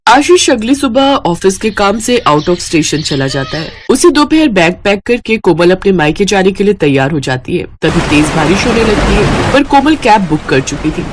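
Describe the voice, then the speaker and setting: A woman's voice: medium pitch, very animated, normal speed, few pauses, noisy background. A woman narrating a scripted cartoon story.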